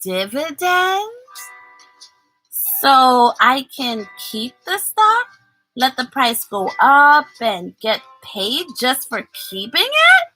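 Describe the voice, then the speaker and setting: Woman voicing an eager, high-pitched curious little boy. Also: wildly swooping pitch, slow, bright, forceful tone, soft background music, full character voice, rough recording. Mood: puzzled, eager. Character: eager, curious young boy learning about money.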